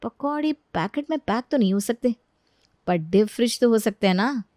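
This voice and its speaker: A woman's voice: a little high, lively intonation, moderate pace, dark tone, no room sound, studio quality. A woman narrating a scripted story expressively as a storyteller.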